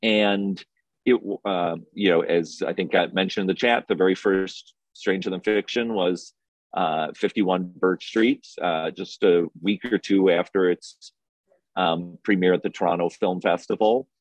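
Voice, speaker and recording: A man with a low voice in a dry, close-sounding space, recalling things casually in an interview. He speaks haltingly, often hesitating and restarting his phrases as he goes. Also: fairly even intonation, dry recording, rough recording. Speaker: film festival programmer being interviewed.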